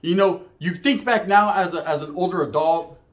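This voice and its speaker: A man's voice: high-pitched, moderate pace. A middle-aged man with a Chicano English accent tells stories casually, acting out dialogue in profane slang mixed with Spanish phrases. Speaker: storyteller.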